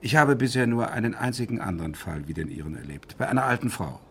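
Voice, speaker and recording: An actor playing a self-assured, eccentric male doctor in a radio crime drama, giving clinical pronouncements with deliberate pauses. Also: mid-range voice, expressive, a bit bright, husky. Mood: confident, musing.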